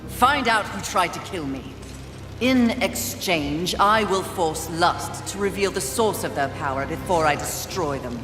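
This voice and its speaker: A woman's voice: on the low side, lively intonation, measured pace, roomy sound, big-room sound, music in the background. An actress playing a haughty, fierce female rider of the apocalypse in a video game, curt and imperious. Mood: cold, threatening. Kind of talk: dramatic video game acting.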